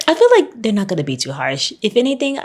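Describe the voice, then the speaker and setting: A woman's voice: mid-range voice, sing-song, quick pace, husky. A young woman from Atlanta, chatting animatedly as a podcast guest, laughing often and filling her talk with "like". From Atlanta, USA. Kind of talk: casual podcast chat. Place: Japan.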